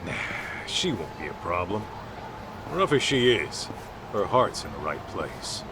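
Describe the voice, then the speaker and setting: An actor playing a gruff, loyal yakuza bodyguard turned detective, blunt and streetwise, in a video game cutscene. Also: male voice, low-pitched, sing-song, measured pace, background noise, full character voice. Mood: easygoing.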